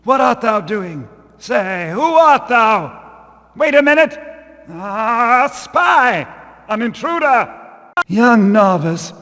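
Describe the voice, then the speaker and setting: A stern, devout zealot from a fantasy game, his voice fairly high and slow. He declaims archaic lines with pious formality, ringing through a long hall reverb. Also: a bit bright, exaggerated voice. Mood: alarmed, then bossy. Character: stern, devout male Hammerite zealot of a religious order. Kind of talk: archaic, solemn video game dialogue.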